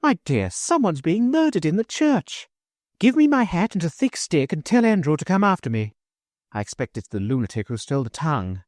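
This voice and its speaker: A man's voice: a little high, sing-song, a little fast, a little mellow, no echo, clean studio sound, pitched above the performer's own voice. A man voicing a fussy, easily alarmed country vicar who blurts flustered exclamations.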